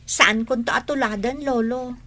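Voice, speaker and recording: A woman's voice: on the high side, steady tone, moderate pace, a bit bright. A woman playing an eager, slightly worried young schoolgirl in a radio drama. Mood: earnest. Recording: faint background noise.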